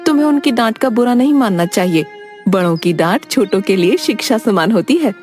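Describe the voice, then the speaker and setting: Lady doing caring bhabhi type, lively pitch, coaxing, protective, cartoon story, music behind. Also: brisk, close to an everyday voice. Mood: reassuring. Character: affectionate, protective sister-in-law of young man. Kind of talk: cartoon moral story acting.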